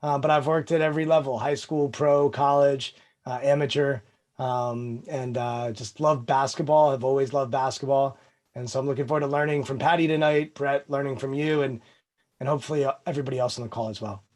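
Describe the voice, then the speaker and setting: A man's voice: mid-range voice, steady tone, fairly quick, a little mellow. A man in his thirties, a mental performance consultant, speaking in an earnest, friendly way on a video call. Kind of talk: panel discussion on a video call.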